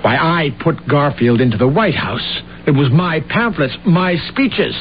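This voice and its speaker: Brief: a brooding, self-important assassin, measured and slow, on a muffled radio broadcast under loud music. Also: male voice, on the high side, animated, slight background noise. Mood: indignant. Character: grandiose deluded male assassin, middle-aged. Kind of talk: 1970s radio drama acting.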